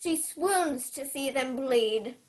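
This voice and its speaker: A woman's voice: high voice, expressive, takes it slowly. A young woman playing a commanding, scheming king in a Shakespearean radio play. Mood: alarmed. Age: young adult. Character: King Claudius, commanding, scheming older male ruler. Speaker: student actor.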